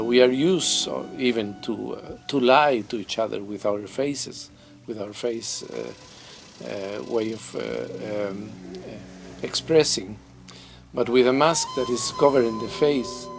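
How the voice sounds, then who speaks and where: A middle-aged man from Peru, answering interview questions in a thoughtful, halting way, with many pauses and restarts, seated in his mask and puppet workshop. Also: lowish voice. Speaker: theatre director and mask maker. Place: workshop full of masks and puppets, indoors.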